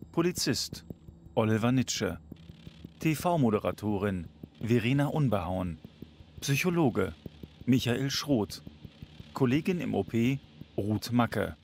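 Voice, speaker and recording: A man's voice: mid-range voice, creaky, a little hiss or hum. A man reading scripted closing credits as a radio drama announcer. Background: faint music.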